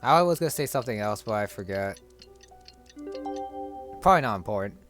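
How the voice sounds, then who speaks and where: A man's voice: lowish voice, animated, fairly quick, fairly bright tone. A man giving casual, meandering gameplay commentary, musing to himself, with game music behind him. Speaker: gamer commentating on a playthrough.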